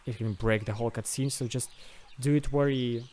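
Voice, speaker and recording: A man's voice: mid-range voice, fairly even intonation, a little fast, darkish tone. A man giving an unscripted screen-recorded tutorial as its host, hesitant and thinking aloud step by step. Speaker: host of a video game modding tutorial.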